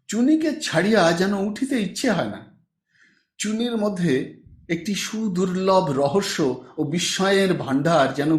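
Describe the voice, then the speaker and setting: A man narrating a literary audiobook in an expressive, dramatic reading style. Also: sits high. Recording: echoey room.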